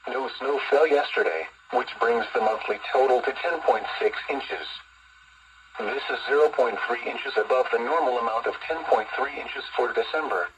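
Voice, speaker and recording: A man's voice: mid-range voice, normal speed, fairly bright tone, husky. A man's synthetic-sounding voice reading a weather report as an announcer, distorted through a small radio speaker. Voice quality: distorted, muffled. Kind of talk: automated weather report readout.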